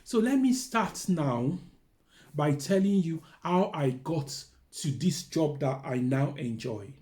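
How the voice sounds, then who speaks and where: A man's voice: fairly high pitch, lively intonation, slow, warm tone. A middle-aged man with a Nigerian accent, a careers adviser earnestly telling his own career story and giving advice. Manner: earnest, candid, repeats phrases like "to be honest".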